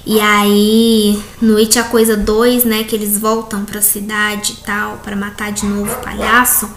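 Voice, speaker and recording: A Brazilian woman, an English teacher, correcting textbook exercises in a recorded class, reading questions slowly and explaining with frequent tag questions. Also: medium pitch, little pitch movement, a bit bright. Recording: echoey room, faint background noise.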